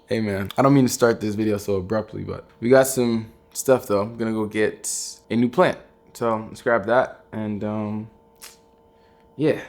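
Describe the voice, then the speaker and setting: A young man vlogging in a soft, reflective, rambling way, with trailing fillers. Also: fairly low pitch, steady tone, normal speed. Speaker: content creator. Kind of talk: casual vlog talk.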